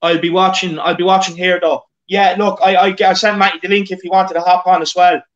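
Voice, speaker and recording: A high-pitched Irish man hosts a casual live stream about football, reading viewer comments aloud. He fills gaps with "um" and stumbles over repeated words. The sound is dull and lo-fi. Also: flat delivery, a little fast. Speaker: host.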